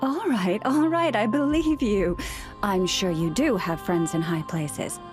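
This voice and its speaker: An actress plays a gentle young woman in a video game. She speaks in a measured, articulate way at a middling pitch, composed and quietly wry, with music clearly playing behind her. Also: close to an everyday voice. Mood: gently indulgent. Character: gentle, composed young woman who understands animal speech.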